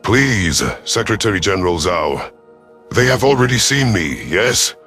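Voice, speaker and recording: A man's voice: on the low side, sing-song, a little slow, a bit bright, soft background music. A man voicing a grey alien warlord who speaks stiffly and formally, without contractions. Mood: earnest.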